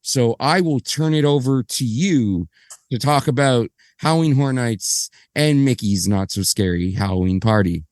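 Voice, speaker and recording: A slightly hoarse man chatting on a podcast, his voice still smooth and resonant under the roughness. He rambles, apologizes and backtracks, recorded close on a dry mic with no room sound. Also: medium pitch, moderate pace, darkish tone, dry recording. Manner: rambling, apologetic, self-correcting. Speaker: podcast co-host. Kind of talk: casual podcast chat.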